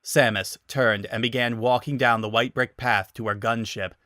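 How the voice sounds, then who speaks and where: A man's voice: medium pitch, steady tone, normal speed, pushed and bright, no echo, clean studio sound. A man giving a dramatic scripted narration of a story.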